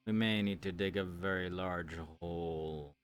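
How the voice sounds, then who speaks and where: A man playing a formal, deliberate leader in a roleplay game, speaking in courteous, measured phrases with pauses. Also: low-pitched, clean tone, faint music behind. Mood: measured, scheming. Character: formal, deliberate male leader planning a monster hunt. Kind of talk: improvised roleplay in a virtual-world game.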